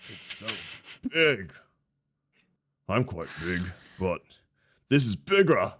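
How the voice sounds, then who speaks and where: A man's voice: lowish voice, very animated, slow pace, fairly bright tone, heavily acted. A man voicing a big, simple-minded, joking adventurer in a tabletop game, speaking in short blunt phrases. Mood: simple wonder.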